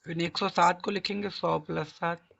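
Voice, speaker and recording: A hoarse man with a sore throat teaching a math lesson, coaxing students along and asking and answering his own questions. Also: sits high, little pitch movement, moderate pace, a bit bright, highs cut off. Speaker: math tutor. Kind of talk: classroom-style math lesson.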